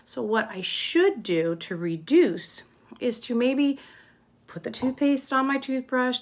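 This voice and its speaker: A woman's voice: medium pitch, fairly bright tone. A woman with a mid-range voice teaches very young children. She explains things in simple steps, puts questions to her listeners and presents a picture book in a read-aloud style. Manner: explains simply, asks rhetorical questions, checks understanding. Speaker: kindergarten teacher.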